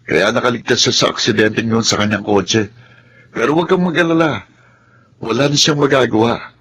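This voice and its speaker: An actor playing a smug, scheming young villain in a Filipino radio drama, slightly husky. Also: male voice, mid-range voice, moderate pace, a bit bright. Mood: smug, plotting.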